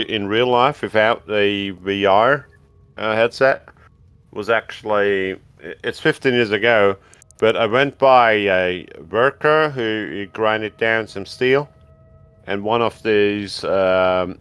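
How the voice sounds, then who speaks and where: A man's voice: fairly low pitch, a bit bright, clear, resonant tone, no room sound. A man chatting casually, rambling and hesitant with many fillers. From Austria. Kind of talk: casual chat.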